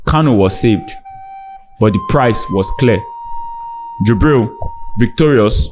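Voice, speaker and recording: A man's voice: on the low side, animated, slow, a little mellow. A man narrating a scripted dramatic folktale as a storyteller. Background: background music.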